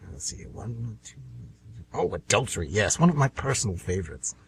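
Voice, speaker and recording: An actor playing the Devil as a scheming, irritable, sardonic dealmaker in an amateur radio comedy. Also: male voice, fairly low pitch, very animated, normal speed, vocal fry, slight background noise. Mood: gloating, grumbling. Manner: sardonic, insinuating, grumbling.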